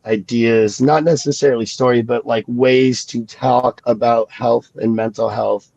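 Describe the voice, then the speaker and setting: A man with a gravelly edge to his voice, sniffling and stuffy from a cold. He talks slowly and reflectively, with lots of fillers and restarts. The sound is dry and a bit dull. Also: mid-range voice, fairly even intonation, a little mellow, no room sound. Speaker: guest comic creator. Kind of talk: casual podcast chat.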